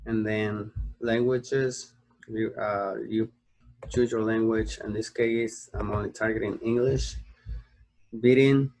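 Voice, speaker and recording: A man's voice: lowish voice, steady tone, slow pace, darkish tone, rough recording. A young man from the United States explains a screen-recorded tutorial, rambling through fillers and restarts and checking in with "okay?" as he goes. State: sleepy. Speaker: online marketing tutor.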